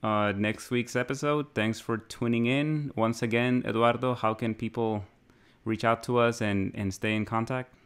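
A man's voice: medium pitch, steady tone, moderate pace, smooth. A man co-hosting a podcast, raised in Mexico, chatting casually in a rambling, musing way and laughing often. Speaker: podcast co-host. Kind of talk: casual chat.

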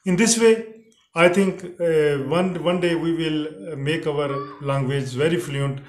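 A man's voice: on the high side, measured pace. Hesitant Urdu-speaking man, echoey room. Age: middle-aged. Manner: hesitant, frequent uh fillers and restarts. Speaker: English teacher. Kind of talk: spoken English lesson to camera. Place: indoors, plain teal backdrop. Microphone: camera in front, head and shoulders framing.

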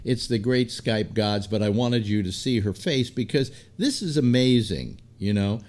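A man's voice: on the low side, moderate pace, darkish tone. A man in his seventies with an American accent, hosting a talk show in a rambling, casual way from a desk microphone at home. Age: 70s. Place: home room lined with disc shelves.

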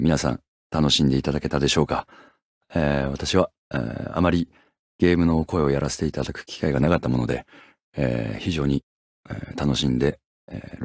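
Low-voiced man, politely addressing fans. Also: vocal fry, darkish tone, husky, no echo, studio quality. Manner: hesitant, formal, measured. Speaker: voice actor. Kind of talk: polite recorded comment to fans.

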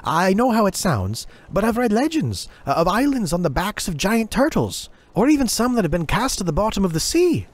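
A man's voice: high voice, sing-song, moderate pace, hardly any pauses, voice pushed up. A man voicing an earnest young male apprentice navigator on a ship.

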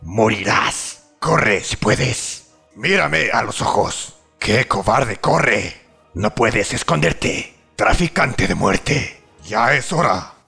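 Gravelly, proud masked warrior played by a man. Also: on the low side, expressive, slow pace, bright, forceful tone, soft background music, heavily acted. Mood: menacing. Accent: Latin American Spanish. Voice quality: gravelly, raspy. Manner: solemn, clipped battle declarations. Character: proud, menacing masked male swordsman warrior. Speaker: fan dub voice actor. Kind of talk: fan dub of video game hero lines.

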